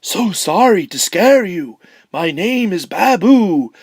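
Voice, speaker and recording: Woman as an eager, unhurried storybook spider. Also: medium pitch, sing-song, darkish tone, breathy edge, dry recording, exaggerated voice. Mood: friendly, helpful. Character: helpful, confident spider brimming with ideas. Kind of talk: children's storybook read-aloud in rhyme.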